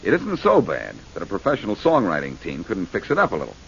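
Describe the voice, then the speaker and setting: An actor in a 1940s radio drama playing a sarcastic, quick-witted amateur sleuth. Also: male voice, low voice, huge pitch swings, vocal fry, slight background noise, exaggerated voice. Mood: wry. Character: wisecracking amateur sleuth, adult man. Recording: muffled old radio broadcast.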